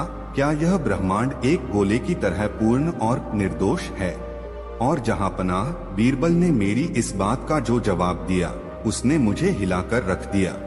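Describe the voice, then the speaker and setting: A man voicing a proud elderly male court scholar who speaks with formal reverence to an emperor. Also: on the low side. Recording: long hall reverb with music bed.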